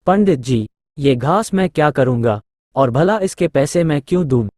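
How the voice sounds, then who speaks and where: A man's voice: fairly high pitch, lively intonation, moderate pace, darkish tone, dry recording, clean studio sound, lightly acted. A man acting polite, simple villagers in a Hindi cartoon moral story. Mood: puzzled.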